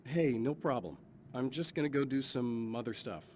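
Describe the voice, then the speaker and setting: An actor playing a calm, wry, guarded hero in a video game, understated and dry. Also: male voice, medium pitch, normal speed, a little hiss or hum, close to an everyday voice. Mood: wry, evasive.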